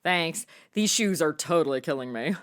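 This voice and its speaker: A woman's voice: low-pitched, lively intonation, moderate pace, a little hiss or hum. A woman playing a flippant, impatient young female sleuth, sarcastic and slangy, in a comedy audio drama.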